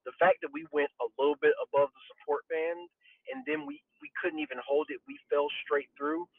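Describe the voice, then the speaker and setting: A husky man with a somewhat high voice speaks with emphatic urgency. He sounds tinny and narrow, as though calling in over a phone line, with dry audio. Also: sing-song, fairly quick, dry recording. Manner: emphatic, urgent market analysis. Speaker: audience member asking a question. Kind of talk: casual voice-chat question.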